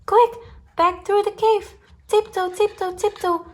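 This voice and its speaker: A woman reading a children's picture book aloud in an expressive, chant-like storytime style, drawing out her words. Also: very high voice. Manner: deliberate, chant-like delivery with drawn-out words.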